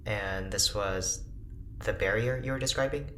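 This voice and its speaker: A reserved, precise doctor speaks in a very low, bright-edged voice, formal and clinical. It is audio drama, recorded in a roomy, echoing space with faint noise. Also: male voice, measured pace. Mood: measured, clinical. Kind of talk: naturalistic audio drama acting.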